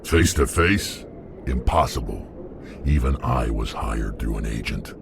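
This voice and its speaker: A gravelly alien mercenary brute. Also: male voice, animated, unhurried, noisy background, full character voice. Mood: gruff. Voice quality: deep gravelly growl. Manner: blunt, threatening, terse. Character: hulking alien mercenary warrior, blunt and menacing. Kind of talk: video game voice acting. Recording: game audio with loud score behind.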